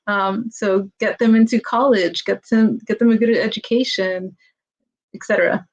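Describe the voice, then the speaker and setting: A woman's voice: mid-range voice, steady tone, moderate pace. Woman community leader, conversational and loose with fillers; dull, lo-fi recording. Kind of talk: panel discussion.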